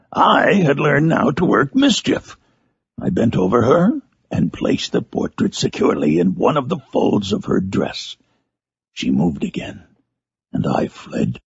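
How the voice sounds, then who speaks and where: A menacing outcast creature telling his dark tale like a gothic storybook. The voice is deeply gravelly and husky, with huge swings in pitch. He speaks slowly and theatrically, brooding and bitter. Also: wildly swooping pitch, dry recording, exaggerated voice. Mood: tormented, bitter. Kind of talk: dramatic first-person literary monologue.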